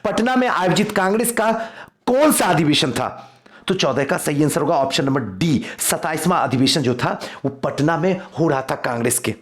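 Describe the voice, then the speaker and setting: A man delivers a quiz lesson as a teacher. His voice sits a little high and swings widely in pitch. He reads questions and answers out to students, repeating key phrases for emphasis. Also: expressive, moderate pace. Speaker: exam-prep teacher.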